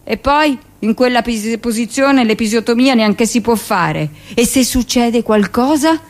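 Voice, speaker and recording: A woman's voice: on the high side, pitched above the performer's own voice. Woman acting out a pompous, paternal old male doctor. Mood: indignant, pompous. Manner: drawn-out, insistent, lecturing. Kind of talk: theatrical radio monologue.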